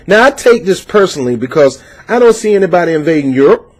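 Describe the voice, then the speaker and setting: A man's voice: high-pitched, big pitch swings, fast talker. A man giving opinionated political commentary, reading out a news article and reacting to it with passionate, preachy emphasis and frequent fillers. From United States.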